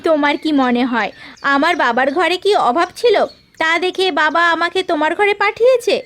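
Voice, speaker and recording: Woman voicing a high-pitched nagging cartoon wife. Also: moderate pace, a bit bright. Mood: chiding. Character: nagging, practical wife and mother of a grown daughter. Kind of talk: Bengali cartoon story dialogue.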